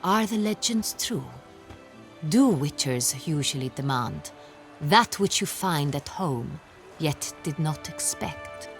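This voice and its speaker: An actress playing a haughty, formal young duchess in a video game, quick to indignation. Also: female voice, deep voice, lively intonation, faint music behind, exaggerated voice. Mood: firm, then intrigued. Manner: formal, speaking with the royal we. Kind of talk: video game character acting.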